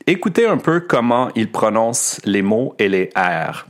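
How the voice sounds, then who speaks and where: A Québécois man talks slowly and deliberately as he walks listeners through a language lesson. His voice sits a little low, and he pauses often to pick his words. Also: sing-song, background noise. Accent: Québécois French. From Quebec. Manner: slow, careful, with frequent hesitations. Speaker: podcast host and French teacher. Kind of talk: explanatory podcast lesson.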